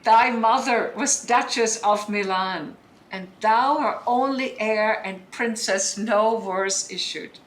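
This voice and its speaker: A woman's voice: medium pitch, slow, lightly acted. A woman playing a bitter, scholarly deposed duchess and sorceress mother in Shakespearean audio drama, speaking in a declamatory, emphatic way that demands attention. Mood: solemn, reflective. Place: indoor room, recorded over internet link. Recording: roomy remote recording, noise.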